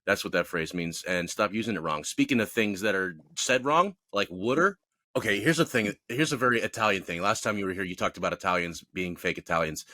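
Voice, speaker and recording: A man's voice: low-pitched, brisk, pushed and bright, dry recording. A man co-hosting a podcast, opinionated and self-mocking in casual chat. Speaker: podcast co-host.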